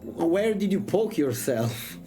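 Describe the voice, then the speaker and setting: A man's voice: high voice, very animated, slow pace, warm tone. A man chatting casually as a vtuber streamer during a visual novel reading, with a playful, exclamatory, joking manner. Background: music.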